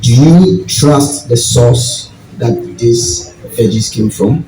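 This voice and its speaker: A man with a deep voice and a Ghanaian accent gives a public talk, deliberately, in short phrases and questions to the audience. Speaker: guest speaker, farming group leader. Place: event venue with audience. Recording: distant, echoey hall with audience noise.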